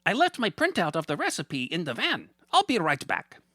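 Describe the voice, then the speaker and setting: A man's voice: high voice, wildly swooping pitch, normal speed, pushed and bright, no echo, clean studio sound, voice pushed up, heavily acted. A man voicing a forgetful, apologetic wife of a cartoon villain in a comic audio story, with a breezy manner.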